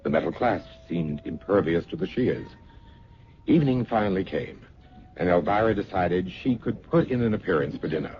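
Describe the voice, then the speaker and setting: An actor playing a socialite's patient, slightly wry husband in an old-time radio drama. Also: male voice, low voice, animated, normal speed, slight background noise. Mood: calm, reassuring. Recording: muffled old radio recording.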